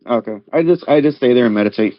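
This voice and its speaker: Guy player in a tabletop game, short low-key answers, mid pitch, close dry but muffled audio. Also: fast, dry recording. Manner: brief, understated answers. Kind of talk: casual in-game talk. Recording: dull lo-fi audio.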